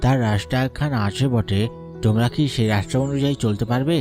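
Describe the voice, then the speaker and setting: A man's voice: mid-range voice, quick pace, close to an everyday voice. A man playing a school peon in a Bengali cartoon, explaining and persuading like an uncle to students. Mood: persuasive. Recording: background music under the voice.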